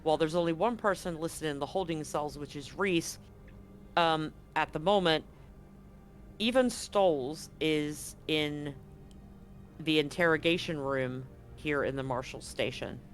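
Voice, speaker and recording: A man improvising narration as game master of a tabletop roleplaying game, often pausing to find his words. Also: on the high side, soft background music.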